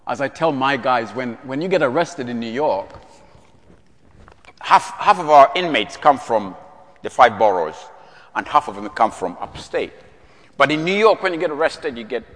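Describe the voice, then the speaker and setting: A middle-aged man from Uganda preaching from the pulpit, his voice carrying a long reverb through the church hall. He is earnest and repeats himself, and he leans on rhetorical questions. His pitch sits in a middle range for a man. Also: a bit bright. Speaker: prison chaplain preaching as guest. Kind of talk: sermon.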